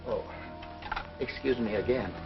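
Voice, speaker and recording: An actor playing a genial, rambling, beer-loving small-town uncle in a 1940s film, chatty and apologetic. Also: male voice, medium pitch, a little slow, lightly acted. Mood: chatty, puzzled. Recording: dull 1940s film soundtrack with loud music.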